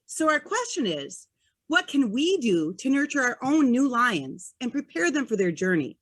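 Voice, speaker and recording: A woman's voice: high voice, sing-song, moderate pace. A woman hosting an online event, giving upbeat, scripted introductions. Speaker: event host. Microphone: video call.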